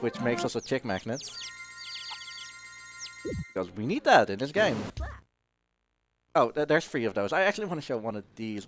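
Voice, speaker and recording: Male speedrunner, non-native English, casual wry commentary, animated pitch, game music behind. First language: not English. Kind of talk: casual live gameplay commentary. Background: video game music.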